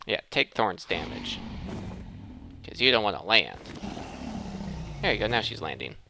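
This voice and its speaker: A man's voice: lowish voice, very animated, unhurried, creaky, noisy background. A man giving casual, playful gameplay commentary as the host of a let's play.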